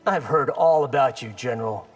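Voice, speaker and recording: An actor playing a brash, defiant young ninja in a video game, cocky and sardonic. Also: male voice, medium pitch, moderate pace, faint music behind, lightly acted.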